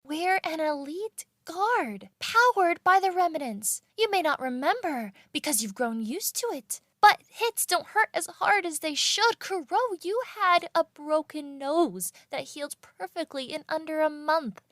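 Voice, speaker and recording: A woman's voice: high-pitched, expressive, measured pace, few pauses, a bit bright, no echo, clean studio sound. A woman playing an earnest, insistent scholar of magic in an elite mercenary band, explaining things in an audio drama.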